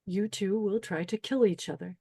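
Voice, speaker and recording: A woman's voice: medium pitch, normal speed, dark tone, breathy edge. A woman playing a cold, commanding older pageant organizer with a menacing, clipped manner in a screenplay table read. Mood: coolly menacing.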